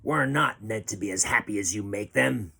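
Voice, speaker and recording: Guy doing a fierce lady pirate boss for a kids storybook, sneery and bossy, slightly husky. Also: lowish voice, moderate pace, sharp tone, heavily acted. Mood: sneering, menacing. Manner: sneering, commanding. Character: fierce sneering one-eyed woman pirate captain. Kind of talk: children's storybook character voice.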